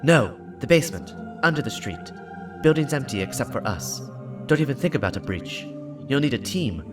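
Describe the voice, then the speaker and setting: A man's voice: medium pitch, sing-song, normal speed, over music, voice pushed up, lightly acted. A man voicing a blunt, urgent female robotic companion in clipped phrases, in a dramatic story reading. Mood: cautioning.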